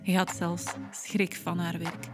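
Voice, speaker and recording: A woman's voice: on the low side, completely flat delivery, a little slow. Flemish woman narrating over music. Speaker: podcast host and narrator. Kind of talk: personal scripted documentary narration.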